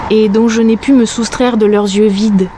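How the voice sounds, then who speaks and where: A woman's voice: medium pitch, steady tone, moderate pace, warm tone, a little hiss or hum. Reflective woman narrating in first person. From southern France. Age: late 30s. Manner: intimate, reflective.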